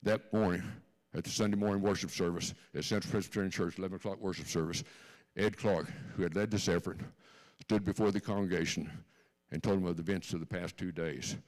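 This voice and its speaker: A man's voice: deep voice, fairly even intonation, quick pace, darkish tone, poor audio. A man in his seventies with a Texan accent, giving an earnest personal testimony to a church congregation and repeating key lines for emphasis. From Texas, born in Austria. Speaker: guest speaker. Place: church sanctuary.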